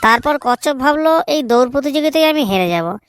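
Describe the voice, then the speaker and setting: A woman's voice: sits high, animated, fairly quick, dry recording, clean studio sound. A woman voicing a cheeky, crude village schoolboy in a comedy cartoon. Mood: cocky. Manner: cheeky, blunt excuse-making.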